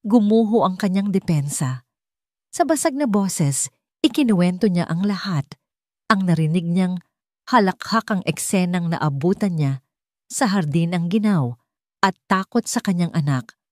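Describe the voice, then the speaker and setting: A woman's voice: on the low side, animated, a little slow, pauses often, a little mellow, dry recording, clean studio sound. A woman reading dramatic scripted narration as an audiobook storyteller.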